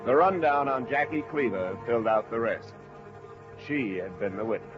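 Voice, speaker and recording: A man's voice: medium pitch, normal speed, close to an everyday voice. An American man playing a dry, businesslike investigator in a 1950s radio detective drama, clipped and wry. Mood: matter-of-fact narrating. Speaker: lead actor and narrator. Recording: dull vintage radio recording, music underneath.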